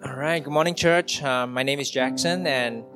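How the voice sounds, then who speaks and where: Male. Church testimony, measured and halting, average pitch, guitar plainly audible underneath. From Singapore. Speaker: church member giving testimony. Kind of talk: personal testimony to a congregation. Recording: guitar music playing behind speech. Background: guitar music.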